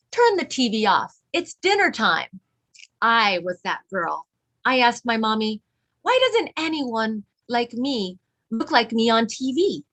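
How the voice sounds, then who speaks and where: Female read-along leader with a high-pitched voice, encouraging young listeners and prompting them to join in. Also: a bit bright. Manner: encouraging, asks children to repeat. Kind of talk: reading a children's book aloud to pupils.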